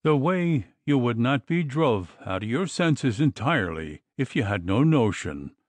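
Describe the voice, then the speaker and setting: A man's voice: mid-range voice, big pitch swings, unhurried, no echo. A man reading an audiobook, voicing a gossipy maidservant in a gushing, dramatic manner. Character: gossipy Irish maidservant, woman.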